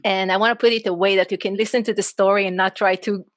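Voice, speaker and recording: A woman's voice: medium pitch, fast talker, dry recording, clean studio sound. A woman in her forties from Israel with an Israeli accent, Hebrew her first language, speaking expressively as a guest on a video call through an earphone mic. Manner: expressive, talks with her hands, says "right?" often. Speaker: guest, coach and author. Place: small room at home, wall of sticky notes. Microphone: earphone headset mic on a video call.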